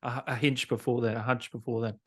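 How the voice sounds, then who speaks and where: A man's voice: mid-range voice, level tone, brisk. A man hosting a casual interview, asking questions in an informal, chummy way. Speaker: interviewer, gaming show host.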